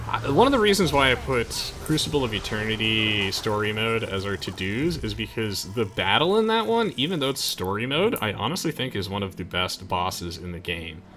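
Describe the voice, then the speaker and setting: Animated, bright-voiced young man commentating gameplay. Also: lowish voice, moderate pace, hardly any pauses. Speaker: gaming video host. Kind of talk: casual let's play commentary. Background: faint game music.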